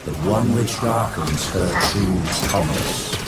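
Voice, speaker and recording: An actor playing a menacing ancient machine warden in a video game, speaking in grandiose, ceremonial, archaic phrases. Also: male voice, low voice, takes it slowly, very noisy. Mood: imperious menace. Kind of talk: dramatic video game acting.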